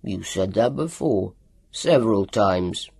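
A reader voicing a gruff, stern older male doctor who speaks in a curt growl. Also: low-pitched, animated, slow pace, a little mellow, no room sound, pitched below the performer's own voice, exaggerated voice.

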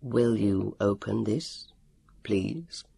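A man voicing a strong, relentless male interrogator in an audiobook, speaking calmly and politely in stilted, formal words. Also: on the low side, creaky, slightly rough, dry recording, voice pushed down, full character voice. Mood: polite command. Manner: calm, polite, measured, stilted formal wording. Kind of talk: audiobook character voice.

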